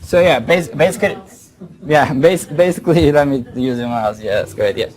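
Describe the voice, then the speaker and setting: A man's voice: on the high side, moderate pace, a little mellow. A man from Brazil with a Brazilian Portuguese accent gives an informal academic presentation, often repeating words as he thinks. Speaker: guest speaker, professor. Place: lecture room.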